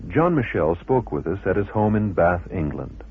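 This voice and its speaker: A man's voice: low voice, big pitch swings, normal speed, slight background noise. A man presenting a scripted radio documentary. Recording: narrow-band old radio recording.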